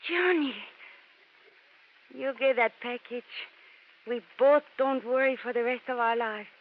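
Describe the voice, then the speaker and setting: High-pitched urgent radio femme fatale. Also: female voice, a little slow. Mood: urgent, coaxing. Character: scheming exotic femme fatale, urgent and excitable. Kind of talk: 1950s radio drama acting. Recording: tinny, noisy vintage radio recording.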